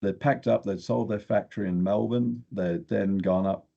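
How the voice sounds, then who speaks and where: A man's voice: on the low side, steady tone, moderate pace, creaky, dark tone, highs cut off. An older man, an exploration geochemist, telling stories casually as an interview guest.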